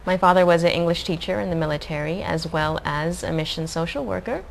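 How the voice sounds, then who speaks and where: A young adult woman from the Philippines, a guest answering interview questions in a calm, measured way. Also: fairly low pitch, creaky, poor audio. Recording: faint background noise.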